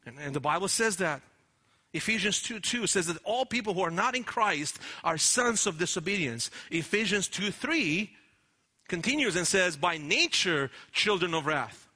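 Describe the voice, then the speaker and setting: A man's voice: high voice, lively intonation, moderate pace, sharp tone, husky. A man preaching a sermon in an earnest, emphatic way, often restarting his words. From Romania. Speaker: pastor.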